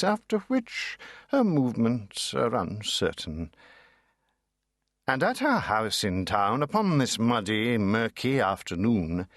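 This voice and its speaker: Expressive male audiobook narrator, very dry sound. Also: medium pitch, dry recording. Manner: measured, expressive, savouring each phrase. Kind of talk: dramatic audiobook narration of classic fiction.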